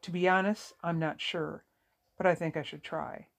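Audiobook role, read by a woman: anxious grown daughter, mid-pitched, fragile and halting. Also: steady tone, gravelly, close to an everyday voice. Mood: anxious, resolute. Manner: hesitant, halting. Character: fragile, anxious adult daughter, near tears. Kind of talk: audiobook character dialogue.

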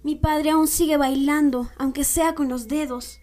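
A woman's voice: high voice, steady tone, a little slow, dark tone, faint music behind. A woman playing a proud, devoted young village daughter in a radio drama, earnest and fervent.

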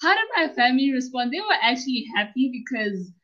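Young South African woman, guest on a casual podcast, slightly high, relaxed and giggly, muffled lo-fi audio. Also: fast talker. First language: Northern Sotho and Tsonga. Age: young adult. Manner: relaxed, chatty, laughs often. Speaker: guest, university student and podcaster. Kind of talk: casual interview chat.

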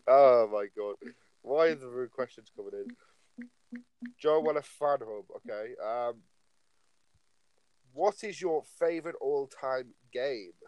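A man's voice: medium pitch, animated, slow pace, pauses often, a little mellow, dry recording, low-quality recording. A nineteen-year-old man co-hosting a casual podcast, rambling along and filling his talk with "like" and "obviously". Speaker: podcast co-host.

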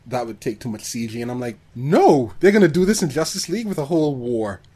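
A man chatting casually as a podcast co-host, expressive and given to acting out reactions. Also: medium pitch, quick pace, slightly rough. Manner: expressive, acts out reactions and quotes. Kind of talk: casual film discussion.

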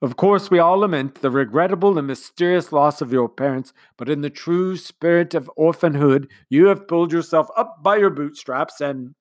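A man's voice: a little high, moderate pace, few pauses, no echo, pitched above the performer's own voice, close to an everyday voice. A man voicing a grandiose, sentimental middle-aged male commander who talks in long, flowery speeches. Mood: grandiloquent. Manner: long-winded, pompous speechmaking.

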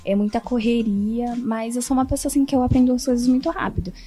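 A woman's voice: fairly high pitch, fast talker, dark tone, breathy edge. A Brazilian woman who produces comedy shows, talking casually and a little shyly as a podcast guest.

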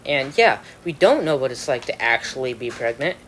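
A man's voice: mid-range voice, sing-song, normal speed, a bit bright, a little hiss or hum, poor audio. A young man chatting casually while playing a game, rambling, trailing off mid-sentence and laughing often. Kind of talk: casual chat over a game.

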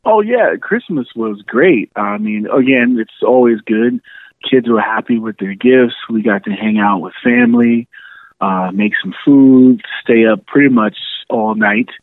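A man's voice: mid-range voice, unhurried, no echo. A man in his thirties from New York City, chatting as a podcast guest over the phone and laughing through his stories. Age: 30s. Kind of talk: casual phone interview. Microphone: phone line. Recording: tinny phone line.

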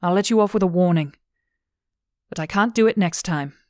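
A woman's voice: on the low side, moderate pace, no room sound, clean studio sound, natural voice. A woman voicing a blunt, stern young female law officer in an audiobook. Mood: firm.

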